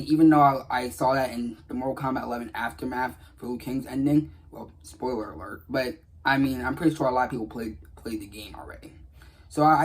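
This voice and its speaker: A man's voice: mid-range voice, slightly rough, low-quality recording. A man giving casual, earnest commentary on game dialogue in a rambling, repetitive way. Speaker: gaming video creator.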